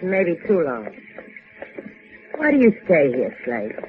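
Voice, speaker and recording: A woman's voice: deep voice, wildly swooping pitch, slow pace, heavily acted. An actress playing a worldly, dry, teasing woman in a 1950s radio drama, with a wry, pointed manner. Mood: cool, probing. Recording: narrow-band old 1950s radio recording, hissy.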